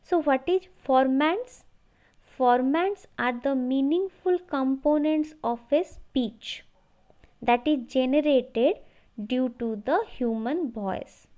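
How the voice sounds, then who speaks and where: A woman lecturer explaining technical theory from slides in a measured, instructional way. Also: sits high, dark tone.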